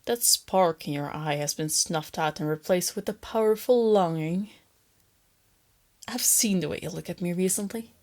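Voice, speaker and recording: A woman voicing a female lamia captor in an ASMR roleplay, soft and breathy, teasing her captive with smug, possessive tenderness. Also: on the low side, normal speed, natural voice. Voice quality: breathy, often dropping to a whisper. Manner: soft, taunting, condescending, intimate.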